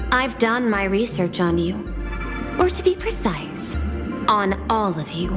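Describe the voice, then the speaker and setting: A shrewd, controlling corporate woman played by a voice actress in a game cutscene. She talks slowly and precisely, with a condescending edge, over prominent music. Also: medium pitch, natural voice. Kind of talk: scripted video game voice acting. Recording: loud music under the voice.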